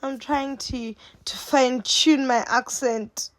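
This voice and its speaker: Woman, Zimbabwean accent, young adult, slow easygoing pace, dry close sound, playful cooking vlogger. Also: sits high, steady tone, no echo. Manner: playful and jokey, laughs often, addresses viewers as "guys". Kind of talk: casual chatty baking vlog.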